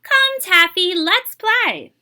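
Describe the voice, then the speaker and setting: An eager young storybook child, acted out by a woman reading aloud. The voice is high and bright and swoops with excitement. It is affectionate and keen, like someone calling out to family and pets. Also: slow, heavily acted. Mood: excited calling. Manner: excited, calling out greetings and play invitations.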